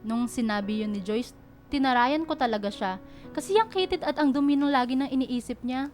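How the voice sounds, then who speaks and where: A woman's voice: sits high, a little fast, a little mellow, faint music behind, close to an everyday voice. An actress playing an affectionate, teasing young college girlfriend in a Filipino radio romance drama. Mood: earnest. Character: baby-faced young college woman, playful, affectionate, easily miffed girlfriend.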